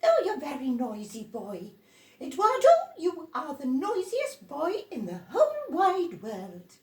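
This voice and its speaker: A woman voicing a loud, exasperated grown-up from a storybook, scolding with emphatic exclamations. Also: wildly swooping pitch, full character voice. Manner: shrill, emphatic, drawn-out exclamations. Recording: roomy echo, distant from microphone.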